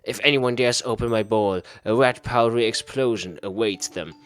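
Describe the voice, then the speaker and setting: A man's voice: medium pitch, moderate pace, soft background music. A man reading a visual novel aloud in a theatrical, mock-serious way as a gaming host. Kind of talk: dramatic read-aloud narration of a visual novel.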